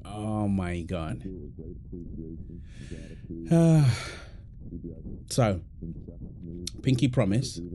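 Man acting an animated, doting young-love husband. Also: medium pitch, noisy background. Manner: teasing, affectionate, exclamatory. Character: playful, doting husband apologizing to his pregnant wife. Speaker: audio roleplay performer. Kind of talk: intimate boyfriend-style audio roleplay.

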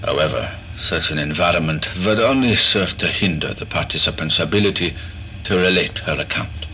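A man's voice: low-pitched, normal speed, pushed and bright, slight background noise, rough recording. An actor playing a cold, eccentric male doctor who records experiment logs in a clipped, detached clinical manner. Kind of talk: horror audio drama acting.

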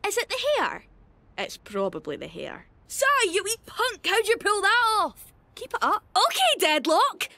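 A woman's voice: very high-pitched, wildly swooping pitch, a little slow, pushed and bright, no room sound, heavily acted. A woman with a Scottish accent voicing a cheeky, playful, irreverent young immortal fighter for a video game. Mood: excited, admiring. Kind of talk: video game character voice lines.

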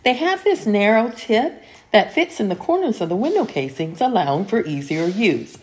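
Calm woman narrating a cleaning routine. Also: medium pitch, normal speed. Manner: calm, explanatory, step-by-step. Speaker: home cleaning vlogger. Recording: voice-over with soft music behind.

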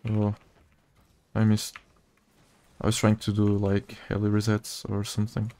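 Mellow, flat Portuguese-accented man. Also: lowish voice, a little slow. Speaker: gaming video creator. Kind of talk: casual gameplay commentary.

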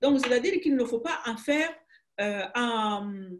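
Older Rwandan woman, halting and emphatic. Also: fairly high pitch, expressive. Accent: Rwandan French. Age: 60s. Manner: halting, restarts phrases, emphatic. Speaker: author guest. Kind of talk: conversational interview. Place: home room. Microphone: video call webcam.

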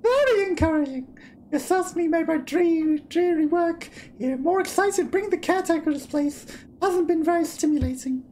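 A man's voice: very high-pitched, fairly quick, a little mellow, slight background noise, voice pushed up. A man voicing an elderly female moth seer, a wistful caretaker of dreams, reading video game dialogue theatrically. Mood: wistful, encouraging. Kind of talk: video game dialogue read aloud in character.

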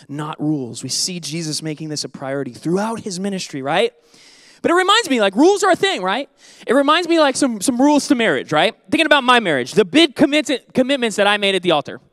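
A man in his thirties preaching a sermon on a church stage into a handheld mic, animated and joking, then dropping to soft earnest tones. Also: high-pitched, no room sound. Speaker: pastor.

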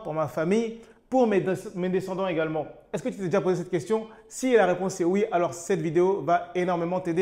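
High-voiced man giving a money-coaching talk. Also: darkish tone. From France, raised in Côte d'Ivoire. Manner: addresses viewer informally, with repeated questions and restarts. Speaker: online business coach. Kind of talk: direct-to-camera motivational talk.

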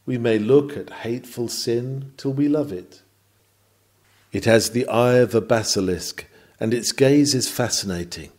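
A man's voice: medium pitch, darkish tone. A man reading a scripted sermon in a solemn, emphatic, rhetorical manner. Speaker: reader of a historical sermon. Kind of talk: sermon, read from a script with oratorical delivery.

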